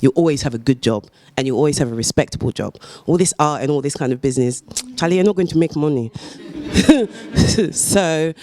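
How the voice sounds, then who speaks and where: A woman's voice: low voice, a little fast, warm tone. A woman artist speaking casually on a panel, chatty and self-deprecating, laughing often. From United Kingdom. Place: gallery discussion event.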